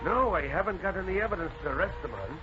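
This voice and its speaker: A blustery veteran police sergeant, higher-pitched. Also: male voice, animated, fast. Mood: blustery, resolute. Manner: blustery, folksy. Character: gruff veteran male police sergeant, folksy, middle-aged. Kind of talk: 1940s radio acting. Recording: noisy muffled old radio recording.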